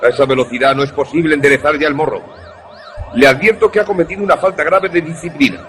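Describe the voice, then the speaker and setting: A man acts as an authoritative air force colonel in a suspense radio drama. His voice sits a little high for a man. He speaks with formal military bearing and gives curt, stern orders. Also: fast, fairly bright tone, close to an everyday voice. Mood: stern, reprimanding. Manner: formal, clipped military commands.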